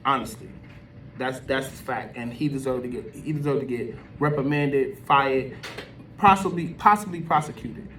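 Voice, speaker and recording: A man's voice: medium pitch, level tone, normal speed, a bit bright, roomy sound. Man giving opinions, noisy distant mic. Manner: emphatic, slangy, conversational. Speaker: video host. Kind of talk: casual opinion commentary. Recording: distant mic with background noise.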